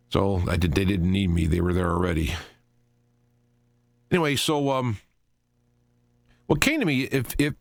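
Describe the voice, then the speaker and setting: Low-pitched, animated male talk radio host. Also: no room sound, studio quality. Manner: halting and rambling, repeats and restarts phrases for emphasis. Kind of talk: unscripted solo talk radio commentary.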